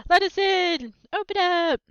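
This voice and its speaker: A woman voicing rowdy, nosy, taunting young boys from a storybook, loud and exclamatory. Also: very high-pitched, unhurried, no echo, muffled recording, voice pushed up. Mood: clamoring. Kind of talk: storybook character voices.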